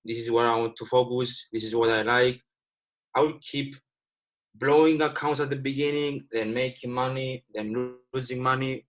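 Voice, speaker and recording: A man in his twenties from Cyprus whose first language is Greek, speaking casually and earnestly to livestream viewers as a guest trader. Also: mid-range voice. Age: 20s. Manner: earnest, repeats himself, addresses viewers as guys.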